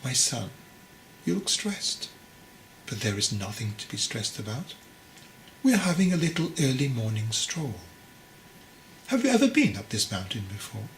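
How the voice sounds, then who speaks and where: A man voicing a venerable old monastery master in a storybook, calm, measured and gently probing. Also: medium pitch, reverberant, slight background noise, rough recording. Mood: serene. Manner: measured, questioning, teasingly patient. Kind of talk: storybook character dialogue.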